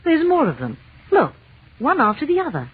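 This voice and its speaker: An actress playing an affectionate, playful wife in a theatrical 1940s radio drama style, on an old broadcast recording. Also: female voice, high-pitched, huge pitch swings, moderate pace, narrow, tinny audio. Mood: awed, excited. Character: affectionate, playful wife of an astronomy professor, easily awed.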